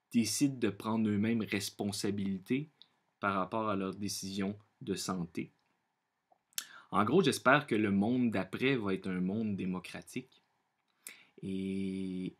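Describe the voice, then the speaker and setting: Low-voiced, halting Québécois man. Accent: Quebec French. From Quebec, Canada. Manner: halting, searching for words, repeating himself; earnest. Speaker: vlogger sharing personal opinions. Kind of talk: unscripted monologue to camera.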